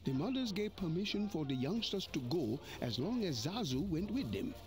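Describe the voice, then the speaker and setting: Old mandrill storyteller, a bit high, very lively delivery, music under it. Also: male voice, moderate pace. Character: old male mandrill elder, gentle storyteller. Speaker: narrator. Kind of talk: storybook read-along narration. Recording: music playing behind.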